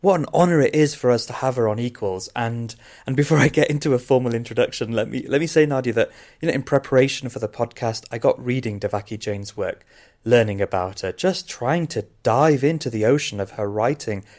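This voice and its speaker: A man's voice: medium pitch, a little fast, a little mellow. Eager man co-hosting a podcast. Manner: eager, repeats words as he talks. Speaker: podcast co-host. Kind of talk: casual chat.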